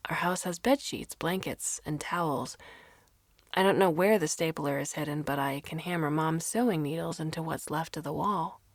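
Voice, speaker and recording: A woman narrates a first-person short story with dramatic expression. Her voice sits a little low and has a clear creak. The recording is dry and close, with no room sound. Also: no room sound.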